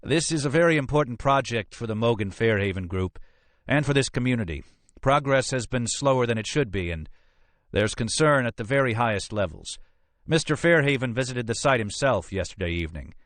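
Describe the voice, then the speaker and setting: Audiobook police captain, played by a male narrator: officious, flustered, blustering, voice raised well above natural pitch. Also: lively intonation, fairly quick, fairly bright tone, no echo, lightly acted. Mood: defensive. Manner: blustering, protesting.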